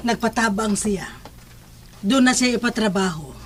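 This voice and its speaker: A man's voice: sits high, normal speed, a little hiss or hum, lightly acted. A man voicing a stern, principled mother in a horror audio drama. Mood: firm, weary. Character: stern, principled mother who works sorcery for hire.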